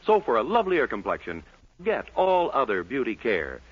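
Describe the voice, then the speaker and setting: A man's voice: medium pitch, wildly swooping pitch, normal speed, breathy edge. A man announcing on a 1940s radio show and reading its ads in an emphatic, polished sales style. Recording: dull 1940s radio broadcast recording.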